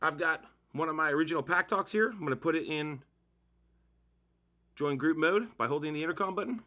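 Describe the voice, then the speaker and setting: Casting: male tutorial host, slightly high pitch, bright forceful tone, informal step-by-step delivery. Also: fairly quick. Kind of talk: casual how-to demonstration narration.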